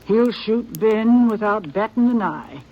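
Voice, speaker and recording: An actress playing a stern elderly frontier grandmother in a 1950s radio drama, speaking plain rural talk in a grim, steady way over faint hiss. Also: mid-range voice, unhurried, lo-fi sound, natural voice. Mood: bitter. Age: older. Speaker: grandmother of a young gunman.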